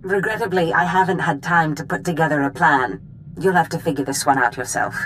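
An actress playing a commanding Sith mentor in a video game, brisk, articulate and wry with authority. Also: female voice, low-pitched, a bit bright, a little hiss or hum, rough recording. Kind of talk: video game character dialogue.

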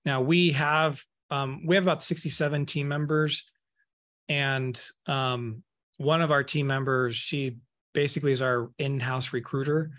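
A man's voice: medium pitch, steady tone, unhurried, a bit bright. A man in his thirties talks candidly as a podcast guest, picking up speed when he gets worked up. His voice sits in the middle range. He comes through a webcam on a video call from an office room. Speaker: guest, accounting firm owner. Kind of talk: casual podcast interview.

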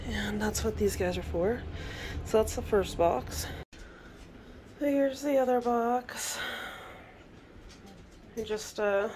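A young woman in her kitchen chatting casually to her phone camera, clearing her throat now and then. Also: mid-range voice, darkish tone, slight background noise. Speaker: vlogger. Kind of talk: casual home vlog narration. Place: home kitchen, indoors.

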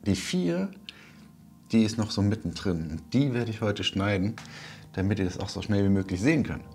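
A man in his forties casually talking through a video diary, unhurried and thinking aloud with pauses, on a clip-on mic. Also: fairly low pitch, expressive, darkish tone. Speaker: vlogger, audio drama maker. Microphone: wireless clip-on lavalier.

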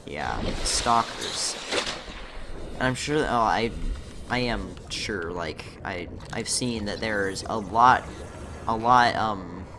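A young man commentating his own gameplay in a casual, chatty way, muttering to himself, his voice slightly rough from a recent illness. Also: lowish voice, slow, background noise. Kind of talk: casual let's-play commentary.

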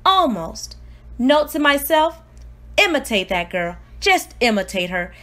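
A woman voicing a precocious, self-important newborn baby diarist, earnest and exclamatory, in an expressive storybook read-aloud. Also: high voice, unhurried, heavily acted. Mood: awed, eager.

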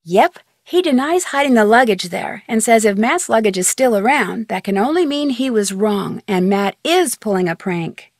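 Casting: inquisitive young female amateur detective, game phone dialogue, higher-pitched voice, conversational and plucky, dry studio sound. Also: expressive, moderate pace, hardly any pauses, dry recording. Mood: pondering, suspicious.

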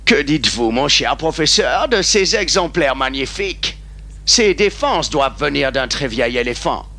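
A man's voice: on the high side, lively intonation, normal speed, music in the background. A man voicing a pompous, curt big-game hunter in a cartoon. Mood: boastful. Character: haughty, gruff moustached big-game hunter.

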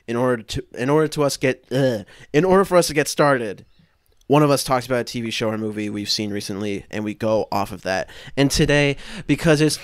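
A man's voice: mid-range voice, brisk, dry recording, clean studio sound. A man in his twenties co-hosting a podcast in casual chat over a video call. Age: 20s. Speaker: podcast co-host.

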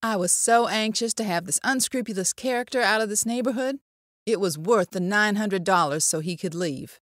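A woman's voice: mid-range voice, moderate pace, fairly bright tone, no echo, clean studio sound, close to an everyday voice. A woman playing an exasperated, put-upon Old West shopkeeper in an audio drama, speaking rather formally. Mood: exasperated relief.

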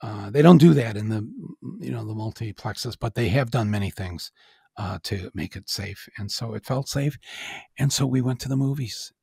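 A man's voice: fairly low pitch, moderate pace, vocal fry, dark tone, breathy edge, no room sound, studio quality. A man in his sixties hosting a podcast, talking in a rambling, self-deprecating way with chuckles and lots of "uh". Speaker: podcast host. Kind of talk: casual monologue.

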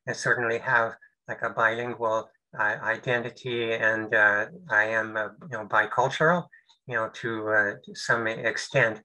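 An older man giving a webinar talk over a video call, halting and full of fillers and restarts. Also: lowish voice, level tone, pushed and bright, low-quality recording. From United States. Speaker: presenter. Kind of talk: webinar presentation.

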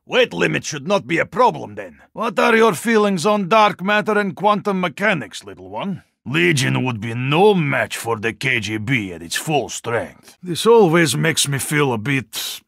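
A male actor playing a huge, earnest, courteous Russian yeti in a video game, with a Russian accent, gravelly voice and formal, stilted phrasing. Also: on the high side, sing-song, a little slow, few pauses, a bit bright, no echo, studio quality, full character voice. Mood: earnest, dry. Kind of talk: video game character voice lines.

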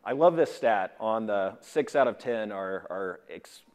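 A middle-aged man speaks as a corporate presenter on a conference stage. His voice sits on the low side, and a long hall reverb follows his words as he delivers a prepared business talk. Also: expressive, measured pace, darkish tone. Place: large indoor conference stage before an audience.

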